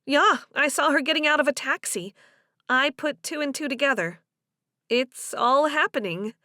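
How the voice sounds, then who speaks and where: A woman voicing an earnest young woman in audiobook dialogue, shy yet spirited, with an expressive, questioning manner. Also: sits high, moderate pace, dry recording, clean studio sound, pitched above the performer's own voice. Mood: curious.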